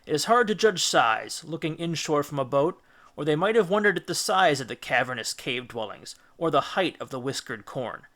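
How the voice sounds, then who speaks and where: A man reading a children's classic as a storyteller, with expressive, deliberate, dramatic narration. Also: fairly high pitch. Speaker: audiobook reader, storyteller.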